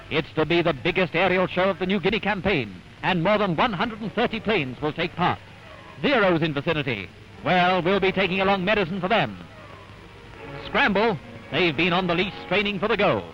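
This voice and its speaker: High-pitched wartime newsreel man, dull old recording. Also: fairly bright tone. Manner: dramatic, punchy, rousing delivery. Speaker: newsreel narrator. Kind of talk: 1940s wartime newsreel narration. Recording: dull vintage newsreel soundtrack with music. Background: music.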